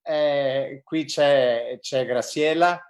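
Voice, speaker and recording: A man whose native language is Italian, speaking haltingly with many pauses as a guest on a video call. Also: on the high side, a little mellow. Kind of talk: live online panel conversation.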